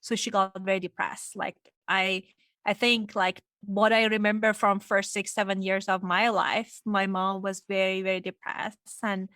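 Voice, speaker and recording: A woman's voice: medium pitch, little pitch movement, normal speed, a bit bright, no echo. A woman from Iran speaking as a psychologist guest, explaining things reflectively in an interview. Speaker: guest, clinical psychologist and sex therapist.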